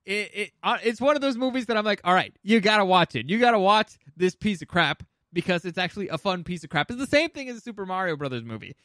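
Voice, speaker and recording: A man with a fairly high voice and a close, dry sound chats as a co-host. He is opinionated and loose, stumbling into restarts and swearing casually as he works through a ranked list. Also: lively intonation, fast, hardly any pauses, a bit bright, dry recording. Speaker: podcast co-host. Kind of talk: casual chat ranking movies.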